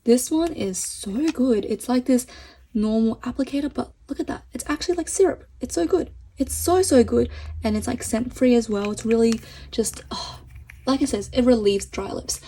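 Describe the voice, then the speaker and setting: A chatty woman reviewing makeup at a desk, her slightly high voice mellow and growing hoarse. She talks casually and enthusiastically, with plenty of fillers, close to the mic. A faint hiss sits behind her. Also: rough recording. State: voice getting hoarse. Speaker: beauty vlogger.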